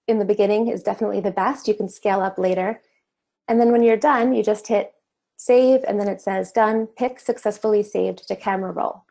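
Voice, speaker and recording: A woman talks through a screen-share lesson in a relaxed, chatty way, stopping now and then with an "um". Her voice has a gravelly edge and sounds a little worn, as if she is starting to lose it. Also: medium pitch. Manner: casual, conversational explaining with fillers. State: slightly losing her voice. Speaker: online course instructor. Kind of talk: screen-share tutorial walkthrough.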